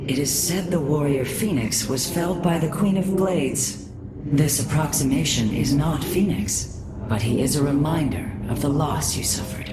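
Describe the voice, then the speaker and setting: An actress playing a wise female alien mystic who speaks in a calm, measured, solemn way. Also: low-pitched, heavy background noise, natural voice.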